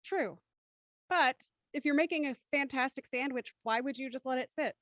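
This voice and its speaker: A woman's voice: high-pitched, moderate pace, a bit bright, dry recording. A woman hosting a casual panel, bantering in a playful, teasing way. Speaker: host introducing cast.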